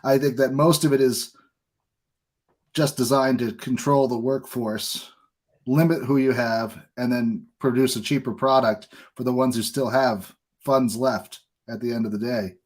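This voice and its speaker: Opinionated man hosting a podcast, mid-pitched. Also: fairly even intonation, unhurried. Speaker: podcast host. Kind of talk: conversational interview with opinions.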